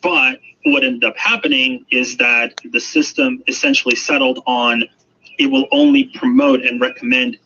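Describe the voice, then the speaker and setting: Bright-voiced man explaining, muffled noisy recording. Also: mid-range voice, big pitch swings, moderate pace, creaky. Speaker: AI expert being interviewed. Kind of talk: explanatory interview talk. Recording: muffled, noisy radio-like sound.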